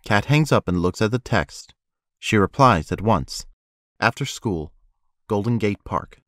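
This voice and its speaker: A man's voice: on the low side, lively intonation, unhurried, no echo, clean studio sound. A man reading scripted narration for an audio drama.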